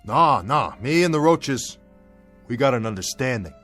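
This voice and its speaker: An actor playing a nervous, meek small-time crook, stammering and pleading in a radio drama. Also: male voice, mid-range voice, lively intonation, moderate pace, fairly bright tone, natural voice. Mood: anxious, whining. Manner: stammering, repetitive, anxious, pleading. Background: music.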